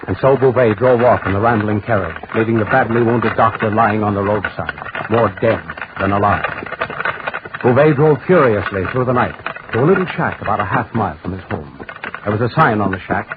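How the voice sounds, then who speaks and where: An actor playing an ominous storyteller, narrating a gothic 1940s radio horror drama. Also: male voice, fairly low pitch, close to an everyday voice. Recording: noisy, tinny 1940s radio recording.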